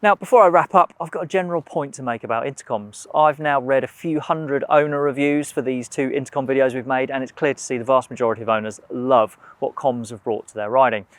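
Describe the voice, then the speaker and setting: A man with a British accent and a mid-pitched voice narrates a scripted product review. He speaks as a presenter, in a measured way, setting out each point in a clear structure. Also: no room sound.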